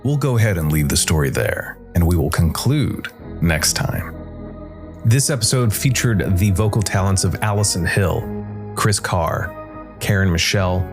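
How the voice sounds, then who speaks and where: A man hosting and narrating a scripted story podcast in a measured way, with dramatic pauses. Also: deep voice, expressive. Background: soft music bed.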